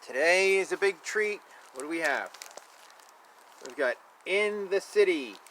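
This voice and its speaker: A man reading children's picture books aloud in a playful, expressive way, with casual asides and repeated phrases. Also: high-pitched, measured pace. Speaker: children's book reader. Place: outdoors. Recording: noisy outdoor ambience. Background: outdoor ambience, animal and vehicle sounds.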